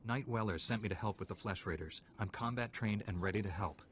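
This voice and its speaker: An actor playing an earnest, confident young Jedi apprentice in a video game, dutiful and assured. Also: male voice, fairly low pitch, a little fast, slight background noise, lightly acted. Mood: resolute.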